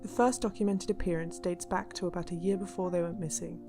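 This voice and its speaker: Serious lady investigator actress narrating a mystery radio play, slightly low voice, music going behind her. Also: steady tone, quick pace, natural voice. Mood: serious, intrigued. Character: investigating female narrator presenting recorded evidence, serious. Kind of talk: scripted radio drama narration. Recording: music bed under the voice.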